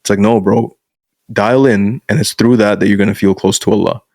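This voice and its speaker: Blunt, lowish-voiced man talking frankly. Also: fairly even intonation, a little fast, darkish tone, no room sound, clean studio sound. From Canada. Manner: frank, blunt, peppers speech with "bro". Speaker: guest masculinity coach. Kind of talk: casual podcast conversation.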